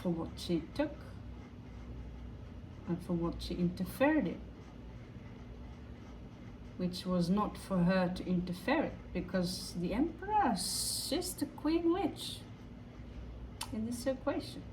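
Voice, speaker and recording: A woman from Norway with a Norwegian accent, giving an improvised tarot reading in a halting way, pausing and repeating her phrases. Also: lowish voice, sing-song, darkish tone, a little hiss or hum, low-quality recording. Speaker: tarot reader.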